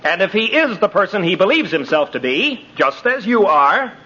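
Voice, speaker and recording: An actor playing an earnest, confident young courtroom lawyer in a 1950s radio drama. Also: male voice, sits high, big pitch swings, normal speed, sharp tone, husky, full character voice. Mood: earnest, insistent. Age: young adult. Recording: dull, noisy vintage radio recording.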